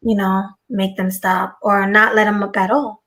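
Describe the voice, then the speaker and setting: A woman on an online panel with a soft voice, asking questions in an unsure way. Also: mid-range voice, little pitch movement, moderate pace. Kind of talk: casual online panel chat.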